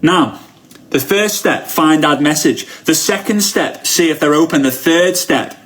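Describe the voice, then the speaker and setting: A man from Liverpool with the local accent and a fairly high voice coaches an online audience. He is excitable and emphatic, hammering home phrases by repeating them in a motivational style. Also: unhurried, a little hiss or hum. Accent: Liverpool English. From Liverpool, United Kingdom. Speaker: network marketing coach. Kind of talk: motivational live online training talk.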